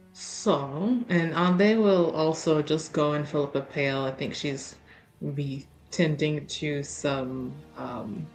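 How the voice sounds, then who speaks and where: A woman playing a tabletop roleplaying game, chatting casually and improvising in character in a halting, easily distracted way. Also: deep voice, steady tone, darkish tone, soft background music.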